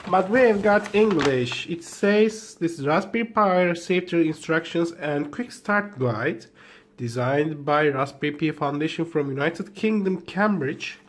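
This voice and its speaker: A man whose first language is Turkish, unboxing gadgets in a halting, filler-heavy way, just woken up. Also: on the high side, expressive. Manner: hesitant, halting, with many fillers and restarts. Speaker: tech vlogger. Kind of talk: unboxing commentary.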